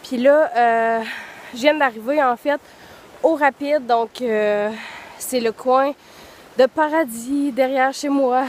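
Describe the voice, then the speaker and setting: A woman's voice: high voice, a little mellow, slight background noise. A young woman with a Quebec French accent, congested from the flu and a bit out of breath, chatting loosely with many fillers while walking in snowy woods. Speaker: vlogger. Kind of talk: casual vlog chat while walking outdoors. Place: snowy woods outdoors in deep cold.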